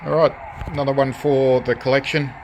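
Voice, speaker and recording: Halting Australian man over background noise. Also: medium pitch, level tone. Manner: halting, hesitant, piecemeal phrasing. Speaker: radio restorer presenting own collection. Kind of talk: casual hands-on show-and-tell commentary. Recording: clear background noise, hobby video.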